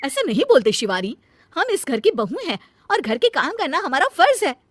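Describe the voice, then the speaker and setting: A woman's voice: high voice, very animated, normal speed, heavy background noise, voice pushed up. A woman voicing a dutiful, hardworking elder daughter-in-law in a family comedy cartoon, earnest and emphatic, easily exasperated. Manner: earnest, emphatic, scolding when annoyed. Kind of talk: acted Hindi family comedy story.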